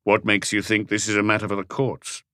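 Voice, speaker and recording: A man voicing a calm, probing police inspector who asks short, measured questions in an audiobook mystery. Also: fairly low pitch, dry recording, clean studio sound. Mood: probing, patient.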